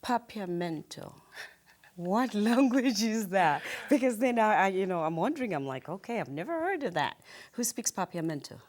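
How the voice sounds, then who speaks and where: A woman's voice: medium pitch, very animated, moderate pace, darkish tone. A woman from Zimbabwe hosting a talk show, asking her guest curious questions with light laughter. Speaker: host.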